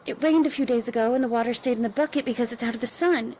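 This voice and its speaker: A woman playing a faithful, protective young refugee in an audio drama, earnest and gentle with a frightened edge. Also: on the high side, racing, a little hiss or hum, lightly acted. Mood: calm, protective. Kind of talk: cinematic audio drama acting.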